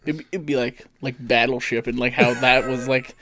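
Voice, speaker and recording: A man's voice: mid-range voice, fairly even intonation, moderate pace, pushed and bright. A man chatting casually as a podcast co-host in an offhand, tentative manner. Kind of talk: casual chat about films and video games.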